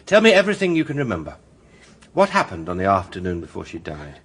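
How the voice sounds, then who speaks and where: A man's voice: low-pitched, wildly swooping pitch, fast, a bit bright, husky, heavily acted. A man acting in a radio drama as a charming, self-assured barrister, suave and theatrical in his delivery. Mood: soothing, courteous.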